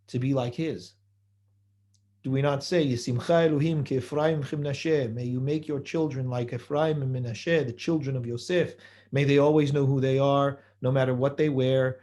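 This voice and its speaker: A man giving a measured holiday sermon, building his points through repeated phrases and rhetorical questions. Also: mid-range voice, mellow. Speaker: rabbi giving a holiday talk.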